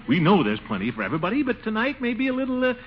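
An actor playing a pompous, portly middle-aged bachelor in a 1940s radio comedy, blustering and hemming and hawing, with a booming chuckle. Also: male voice, wildly swooping pitch, very fast, slightly rough, heavily acted. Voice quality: booming, sly chuckling laugh. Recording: dull noisy 1940s radio recording.

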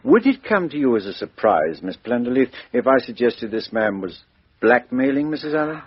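A blunt police inspector. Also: male voice, medium pitch, sing-song, breathy edge. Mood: questioning. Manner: brisk, direct questioning. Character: blunt middle-aged male police inspector. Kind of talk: 1950s radio drama acting. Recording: dull lo-fi old radio recording.

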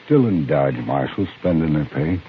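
A man's voice: a bass voice, sing-song, normal speed. A man playing a folksy, plainspoken rancher with a rural American accent in a 1950s radio western. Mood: regretful. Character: folksy, easygoing Old West rancher. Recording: muffled, noisy vintage radio recording.

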